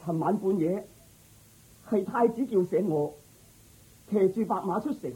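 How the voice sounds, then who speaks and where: A woman's voice: mid-range voice, unhurried, mellow, breathy edge, poor audio. A woman playing a loyal, humble male groom in a radio drama, deferential and pleading toward royal masters. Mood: respectful, reporting.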